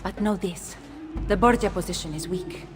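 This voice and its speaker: A woman's voice: on the low side, moderate pace, lightly acted. An actress playing an earnest, resolute noblewoman in a video game cutscene. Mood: grave, resolute. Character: earnest, resolute Italian noblewoman, a commander's wife. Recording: long reverb, music behind.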